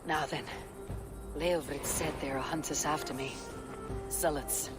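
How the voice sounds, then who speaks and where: Someone doing a calm viking clan leader, level mid voice. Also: mid-range voice, measured pace, loud music behind the voice, close to an everyday voice. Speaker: game protagonist.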